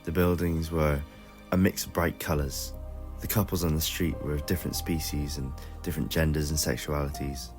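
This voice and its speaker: A man's voice: very deep voice, fairly even intonation, normal speed, over music. A man reading scripted narration for an adventure audio story.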